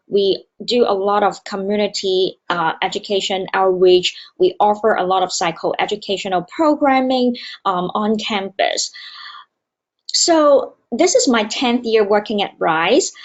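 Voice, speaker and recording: Woman, dull call audio. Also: mid-range voice. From Hong Kong. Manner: earnest, many fillers and hesitations. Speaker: university student wellbeing director. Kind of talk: conference presentation. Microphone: remote video call.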